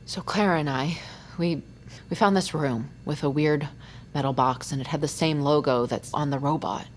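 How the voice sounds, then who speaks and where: A woman playing a hesitant young survivor in an audio drama, speaking haltingly and informally. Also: low voice, slight background noise, natural voice. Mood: uneasy. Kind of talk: naturalistic audio drama acting.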